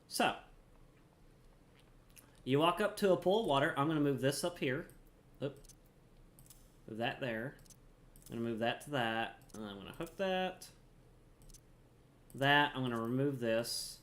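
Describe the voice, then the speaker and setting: A man's voice: mid-range voice, animated, a little slow, pauses often, fairly bright tone. A man giving casual gameplay commentary, explaining things informally and thinking aloud as he plays. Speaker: gamer, streamer explaining gameplay.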